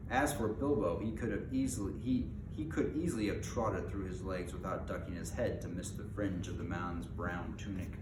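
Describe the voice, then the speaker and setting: A man's voice: deep voice, moderate pace, hardly any pauses. A man reading a storybook aloud as its narrator. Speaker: storybook reader. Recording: echoey room with clear background noise.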